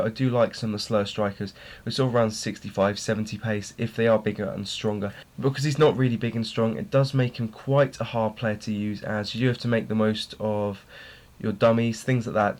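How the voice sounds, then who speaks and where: A man's voice: on the low side, a little mellow. A young man reviewing a video game player card in an informal way, his voice slightly worn as he is losing it. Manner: informal, repeats words for emphasis. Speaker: gaming video host.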